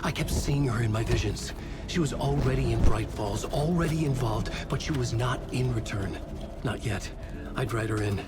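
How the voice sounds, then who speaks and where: An actor playing a haunted middle-aged novelist, narrating a horror game in grave, terse, brooding sentences. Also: male voice, mid-range voice, normal speed, loud music behind the voice, lightly acted. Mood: grim, urgent. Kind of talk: scripted first-person narration in a horror video game.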